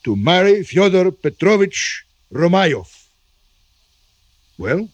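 An actor playing a doting, fretful Russian general and father in a radio drama, with a hesitant, halting delivery. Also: high-pitched, very animated, no echo, exaggerated voice. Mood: solemn. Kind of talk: classic radio drama acting.

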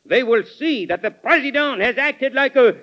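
An actor playing a proud, scheming envoy, in impassioned old-time radio drama style. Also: male voice, very high-pitched, animated, moderate pace. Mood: persuasive. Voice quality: impassioned, declamatory. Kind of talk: 1940s radio acting. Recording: muffled old radio recording.

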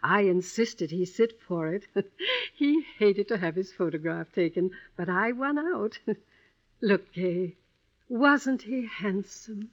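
Radio play widow, played by a woman: elderly, gentle, hesitant, slow delivery, muffled period sound. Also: medium pitch, a little hiss or hum, lightly acted. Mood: wistful. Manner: gentle, hesitant, fond endearments. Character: kindly, wistful elderly widow, frail and motherly. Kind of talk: old-time radio drama acting. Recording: dull vintage radio recording.

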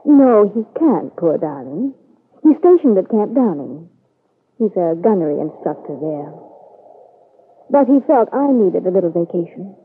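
A woman's voice: on the low side, big pitch swings, a little slow, slight background noise. An actress playing a breezy, self-assured, sociable married woman on vacation in an old radio soap. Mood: breezy, friendly. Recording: muffled narrow-band vintage radio recording.

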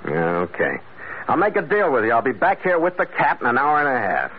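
An actor playing a tough, suspicious sea captain who investigates and narrates in a terse, hard-boiled radio detective style. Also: male voice, medium pitch, wildly swooping pitch, a little fast, a little hiss or hum. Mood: wary. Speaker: radio drama lead and narrator. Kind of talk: 1940s radio detective acting, first-person narration. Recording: tinny old radio recording.

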